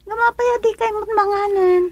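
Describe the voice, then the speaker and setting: A woman's voice: very high-pitched, fairly even intonation, moderate pace, highs cut off. A woman playing a caring, anxious young daughter in an Ilocano radio drama. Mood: concerned.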